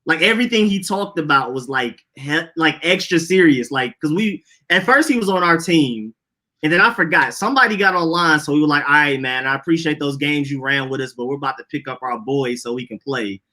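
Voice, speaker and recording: Bright-voiced young Atlanta man, rambling. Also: fairly high pitch, animated, fairly quick. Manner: loose, rambling storytelling with slang. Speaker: podcast co-host. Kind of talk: casual chat.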